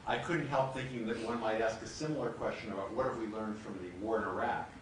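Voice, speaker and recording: A man's voice: lowish voice, steady tone, quick pace. A man moderating a live panel discussion, putting questions to his guests in front of an audience. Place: large room with an audience. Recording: echoey hall, distant mic, noisy.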